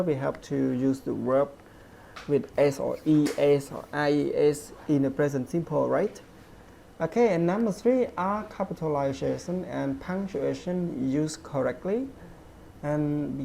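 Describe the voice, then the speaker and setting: Slow low-voiced woman teaching grammar. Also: vocal fry, dark tone, slight background noise. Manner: slow, repeats okay and everyone often, refers to herself as teacher. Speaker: English teacher. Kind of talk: online grammar lesson.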